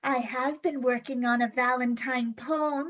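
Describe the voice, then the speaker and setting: A woman's voice: high-pitched, fairly even intonation, a little slow, a bit bright, lo-fi sound. A woman playing a bashful, giggly, lovestruck housemaid in an old-time radio comedy, sentimental and coy.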